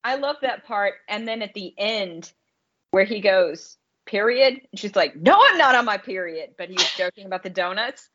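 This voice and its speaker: A woman's voice: mid-range voice, expressive, normal speed, a bit bright, lo-fi sound. A woman co-hosting a casual podcast about films, explaining her opinions in an articulate, conversational way. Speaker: podcast co-host and film critic. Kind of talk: casual podcast film discussion.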